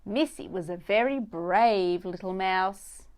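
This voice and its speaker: A woman's voice: medium pitch, takes it slowly, darkish tone. A woman narrating a children's storybook as a read-aloud storyteller.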